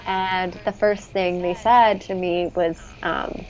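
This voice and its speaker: A woman's voice: lowish voice, steady tone, slow pace. Earnest young woman, dull lo-fi sound. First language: English. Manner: earnest, frequent "like" fillers. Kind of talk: casual conversation.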